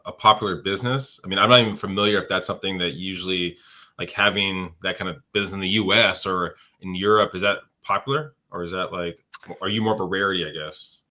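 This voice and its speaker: A man's voice: deep voice, pushed and bright. A man hosting a podcast, asking hesitant, searching questions with many restarts. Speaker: podcast host. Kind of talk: podcast interview.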